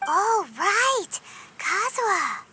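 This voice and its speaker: A woman voicing a tiny, excitable fairy companion in a video game, with a squeaky, cutesy, childlike and exclamatory delivery. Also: extremely slow, noisy background, full character voice. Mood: cheerful. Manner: squeaky, cutesy, exclamatory, speaks of herself by name.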